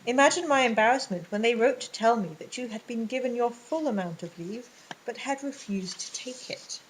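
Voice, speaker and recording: Woman putting on a stern vicar, ponderous, measured, coldly reproachful, audiobook reading. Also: animated, slight background noise, close to an everyday voice, low-quality recording. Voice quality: low and ponderous. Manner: cold, measured and disapproving. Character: stern, ponderous middle-aged male vicar.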